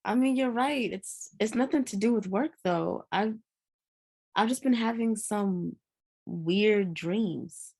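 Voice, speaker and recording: A woman's voice: medium pitch, slow pace, vocal fry, darkish tone. A woman acting a tired, easygoing character in a live dramatic script reading, casual and understated. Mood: casual, weary. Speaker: actor in a screenplay table read.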